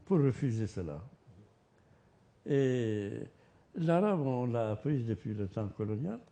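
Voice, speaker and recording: A man from Mauritania, a senior guest in a televised panel debate, who speaks in a measured way and repeats his points for emphasis. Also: mid-range voice, sing-song, mellow.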